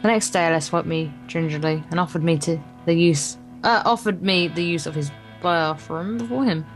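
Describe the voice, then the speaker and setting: A woman with a slightly low voice reads a story game aloud as a casual co-player. She trips on the odd word and carries on. Guitar music plays softly behind her. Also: moderate pace. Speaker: guest co-player reading the narration aloud. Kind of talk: reading a visual novel aloud, informal let's play. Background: game's guitar music.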